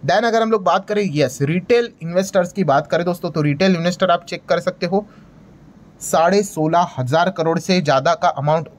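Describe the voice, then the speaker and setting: A man's voice: high-pitched, brisk. An Indian man giving a casual, emphatic explainer as a market video host, conversational, hyping things up and mixing in English terms with repeated check-in phrases. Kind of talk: casual explainer commentary over screen graphics.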